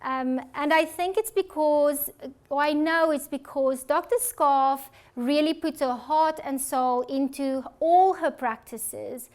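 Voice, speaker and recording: A South African woman with a notably high voice gives a public health talk. Her explanations are earnest and reassuring. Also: takes it slowly. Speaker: audiologist presenter.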